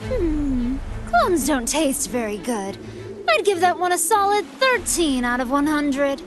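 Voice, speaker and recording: High, playful android villainess. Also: female voice, sing-song, unhurried, music in the background. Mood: playfully menacing. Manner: playful, nonchalant, theatrical. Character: whimsical sweet-toothed female android villain, scheming and airy. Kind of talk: anime game cutscene acting.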